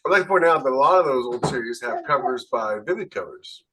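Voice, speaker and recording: Man, middle pitch, casual panel discussion, matter-of-fact, opinionated. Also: lively intonation, fast, fairly bright tone, husky. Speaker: podcast panelist, author.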